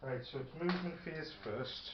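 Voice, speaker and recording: A man chatting casually and haltingly as he plays a tabletop wargame, thinking aloud. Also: on the high side. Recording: roomy, dull, very noisy room recording. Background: radio playing in the background.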